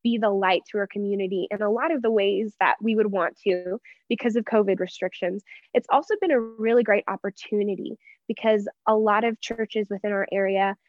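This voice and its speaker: A young American woman from Texas speaking as an interview guest, earnest and expressive as she reflects at length in personal conversation. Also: medium pitch, fairly quick, no echo, phone-quality sound. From Texas, United States. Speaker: interview guest, church member.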